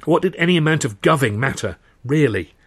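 A man's voice: on the high side, expressive, slightly rough, no room sound. English man narrating a novel. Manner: measured first-person storytelling. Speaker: author reading own novel aloud. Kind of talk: dramatised audiobook narration.

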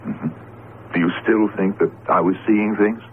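An American actor playing an anxious, middle-aged Egyptology lecturer, hesitant and uneasy, in a radio drama. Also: male voice, lowish voice, steady tone, a little slow, close to an everyday voice. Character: rattled, anxious male Egyptology lecturer doubting his senses. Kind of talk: old-style radio drama acting. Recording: tinny, noisy 1970s radio broadcast.

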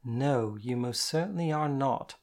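A stern but tender woman, as voiced by the reader, giving calm, measured orders. Her firmness is gentle, steady and sure. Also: medium pitch, close to an everyday voice. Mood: soothing, stern. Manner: firm, measured, gently commanding. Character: firm, caring dominant woman, calm and stern.

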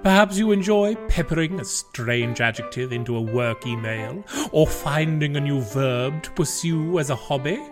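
A man with a mid-pitched voice reads scripted podcast announcements. Music plays clearly behind him as he speaks. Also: expressive, moderate pace, hardly any pauses.

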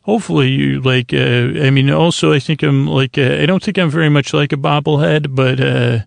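A man with a heavily creaky, gravelly voice hosts a sleep podcast in a dry, echo-free recording. His tone is lulling and soothing. He meanders through halting sentences, doubling back and leaving thoughts unfinished. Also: fairly high pitch, steady tone. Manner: meandering, halting, repeats and trails off mid-sentence. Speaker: podcast host. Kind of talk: rambling bedtime monologue to help listeners sleep.

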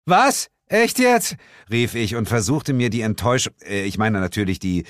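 A man's voice: on the low side, fairly quick, dry recording, clean studio sound. A man narrating a comic first-person audiobook story in a mock-dramatic way.

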